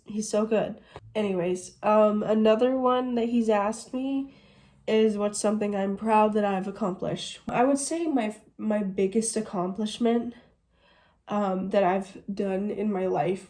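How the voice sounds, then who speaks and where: A woman's voice: mid-range voice, dark tone. A woman in her twenties from the United States, chatting casually through a Q&A vlog and gushing as she goes. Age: 20s. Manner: chatty, gushing, says "literally" often. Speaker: vlogger.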